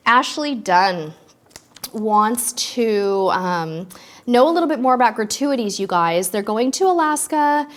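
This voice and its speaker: A woman's voice: a little high, lively intonation, measured pace. Chatty woman hosting a live Q&A. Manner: chatty and upbeat, with frequent ums. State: slightly stuffy from allergies. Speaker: host of a cruise tips live stream. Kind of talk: casual live Q&A, reading viewer questions.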